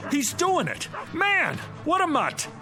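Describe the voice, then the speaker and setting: A man's voice: extremely high pitch, huge pitch swings, slow pace, exaggerated voice. A man playing an agitated, headstrong father in a radio drama, urgent and desperate. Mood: urgent calling. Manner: urgent, impulsive. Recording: music bed behind voice.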